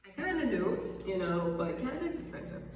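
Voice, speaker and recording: A woman's voice: mid-range voice, very animated, brisk, darkish tone. A young Bahamian woman giving chatty, emphatic advice in a casual vlog, often repeating herself for emphasis. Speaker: vlogger. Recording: echoey room, far from the mic, background noise.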